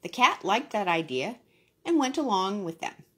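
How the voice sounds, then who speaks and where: A woman's voice: medium pitch, sing-song, normal speed, pushed and bright, breathy edge. A woman reading a children's story expressively, adding brief casual asides.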